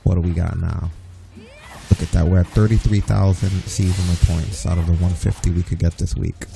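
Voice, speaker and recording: A man's voice: sits low, creaky. A man chatting casually while playing an online game with a friend, laid-back and rambling, swearing now and then. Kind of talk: casual gaming chat. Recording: game music playing loudly behind. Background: game music.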